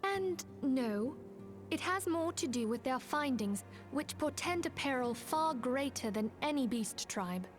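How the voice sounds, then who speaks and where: A composed, earnest female leader from a fantasy game. Her voice is a little high, and she delivers formal, measured briefings over faint music. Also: lively intonation. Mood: grave, composed. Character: composed, earnest woman leading a band of heroes. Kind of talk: scripted fantasy game dialogue.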